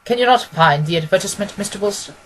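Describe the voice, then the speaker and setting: A woman voicing a confident, quick-witted gentleman detective from a storybook, precise and amused. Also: mid-range voice, lively intonation, slight background noise, voice pushed up, natural voice. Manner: precise, expository, assured.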